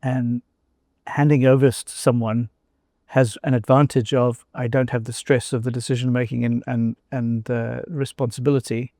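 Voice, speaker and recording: Gravelly South African older man. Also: mid-range voice, little pitch movement, normal speed, warm tone, dry recording, clean studio sound. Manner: thoughtful, hesitant with many fillers and restarts. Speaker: guest, former company CEO. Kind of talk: casual interview conversation.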